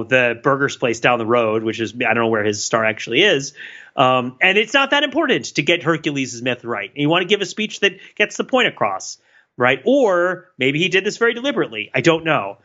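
A man with a fairly high, very bright voice co-hosts a podcast, musing aloud in a loose, rambling way. He restarts his sentences and laughs often as he works through his ideas. The recording sounds dull and lo-fi. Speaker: podcast co-host. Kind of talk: casual analytical discussion.

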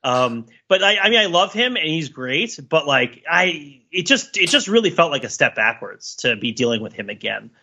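Animated, bright-voiced man riffing. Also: a little high, moderate pace, hardly any pauses, highs cut off. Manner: animated, riffing with rhetorical questions. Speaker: podcast co-host. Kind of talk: casual, opinionated pop-culture banter.

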